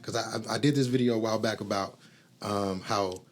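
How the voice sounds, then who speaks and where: A man's voice: fairly low pitch, steady tone, fairly quick. A man hosting a casual conversation, recalling things in a rambling way full of fillers. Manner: rambling, filler-heavy recollection. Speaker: podcast host.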